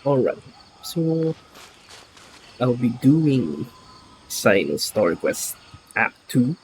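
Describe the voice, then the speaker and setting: A man giving casual live gameplay commentary, speaking in halting short phrases and muttered reactions. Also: medium pitch, sing-song, slight background noise, low-quality recording. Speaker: game streamer. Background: faint game music.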